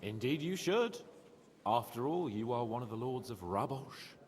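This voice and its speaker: A man's voice: mid-range voice, moderate pace, slight background noise, close to an everyday voice. An actor playing a cocky, jovial medieval nobleman in a video game, teasing and wry, fond of pleasure. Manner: teasing, animated. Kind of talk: video game dialogue acting.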